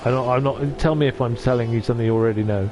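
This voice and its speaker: A man from North Wales streaming from his sofa at home. He chats gently with his viewers and often stops and restarts. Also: medium pitch, fairly even intonation, rapid-fire, faint music behind, rough recording. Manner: gentle, hesitant, with many restarts. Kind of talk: casual chat reading out viewer comments.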